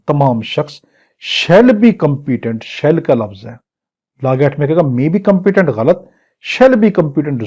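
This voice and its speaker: A man with a Pakistani accent teaching law, reading English legal phrases slowly and explaining them in Urdu in an emphatic, didactic way. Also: fairly high pitch, mellow. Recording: dull, muffled lo-fi audio.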